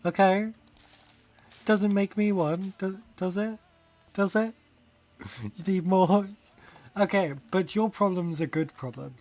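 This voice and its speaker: A man's voice: high voice, slow, long pauses, darkish tone. A man chatting casually on a game stream, rambling, laughing often and reading chat aloud. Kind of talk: casual live-stream chat while gaming.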